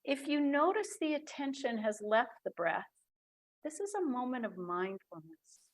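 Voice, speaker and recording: A woman guiding a breathing meditation in a soft, calm voice with long pauses. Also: fairly high pitch. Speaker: meditation guide. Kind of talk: guided meditation.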